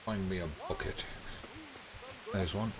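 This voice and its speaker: Slow, deep-voiced man gaming. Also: steady tone, a crowd in the background. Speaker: gaming streamer. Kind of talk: casual game commentary, thinking aloud. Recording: commentary over game music and rain. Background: game music, rain sounds.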